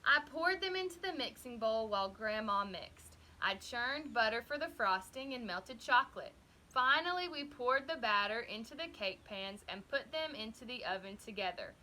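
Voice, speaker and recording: A woman reading a children's story aloud expressively, with deliberate, dramatic emphasis. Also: fairly high pitch, sharp tone. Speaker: storybook reader.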